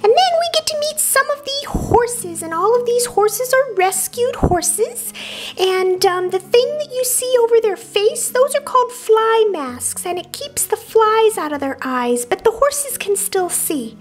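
A woman voicing a cute, excitable little lamb girl plush toy who narrates a travel vlog in a chirpy, childlike, giggly way. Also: extremely high pitch, a little slow. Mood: sweet, excited. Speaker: vlog host.

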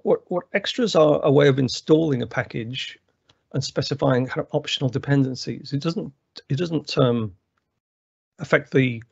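A man with a gravelly voice presenting a technical webinar walkthrough, rambling with many fillers and restarts. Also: mid-range voice, a little mellow, no room sound. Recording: dry, slightly muffled webinar audio.

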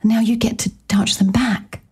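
An actress playing a pushy, upbeat new-age book agent with a breathy voice in an audio romantic comedy. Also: female voice, fairly high pitch, steady tone, moderate pace, mellow. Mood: persuasive. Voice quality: breathy, husky. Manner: persuasive, breezily insistent.

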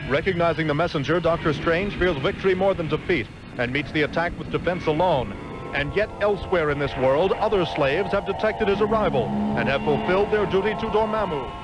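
A man's voice: fairly high pitch, fairly quick, poor audio. A man narrating a 1960s radio adventure drama in a theatrical, urgent scripted style. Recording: old radio drama with music behind.

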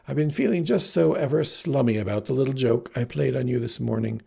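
Man putting on a haughty, husky lovesick young woman. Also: medium pitch, animated, measured pace, warm tone, heavily acted. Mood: affected airy disdain. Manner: affected, gushing, theatrical. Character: haughty, lovesick seventeen-year-old farm girl, affecting fancy airs.

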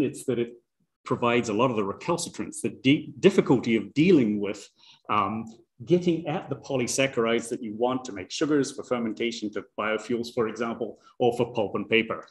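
A man in his sixties with a New Zealand accent gives a public science talk at a medium pitch. He makes dry, self-deprecating asides and chuckles at his own jokes. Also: animated, fairly quick, low-quality recording. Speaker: guest lecturer, professor.

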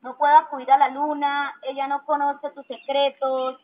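A woman's voice: high-pitched, level tone, normal speed. A woman reciting poetry as a reader at a public event in an outdoor park. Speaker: poet reading in public. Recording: tinny band-limited megaphone, noisy outdoor ambience.

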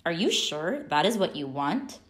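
A woman's voice: on the low side, big pitch swings, measured pace, a bit bright. A woman voicing a patient, puzzled storybook mother, questioning and matter-of-fact. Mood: asking patiently.

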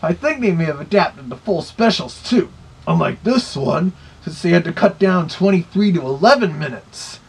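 A man's voice: sits high, animated, normal speed, creaky, breathy edge. A man giving casual review commentary in a small bedroom, picked up by a camera mic.

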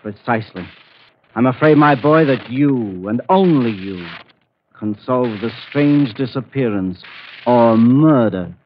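A man playing a measured, teasing older doctor with a deliberate, avuncular manner in a 1940s radio drama. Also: mid-range voice, expressive, natural voice. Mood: measured, knowing. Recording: muffled, hissy 1940s radio recording.

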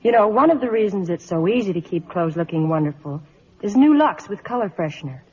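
A woman's voice: medium pitch, animated, brisk, a little mellow, husky. A woman, an actress giving a scripted 1950s radio interview and product endorsement. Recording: muffled, reverberant vintage radio broadcast.

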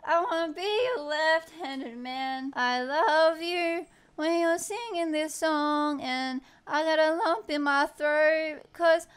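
A young Australian woman streaming a game from her home room into a boom mic, teasing a player with dramatic whispers, squeals and off-key singing. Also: slow pace. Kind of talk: casual gaming chat with playful singing. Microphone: studio mic on a boom arm.

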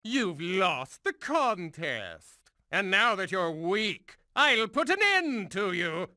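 An actor voicing a megalomaniac mutant genius supervillain in a video game, condescending, mocking and theatrical like a cruel schoolmaster. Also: male voice, high voice, big pitch swings, takes it slowly, pushed and bright, no room sound, clean studio sound, heavily acted. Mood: smug quizmaster. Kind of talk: theatrical cartoonish game voice acting.

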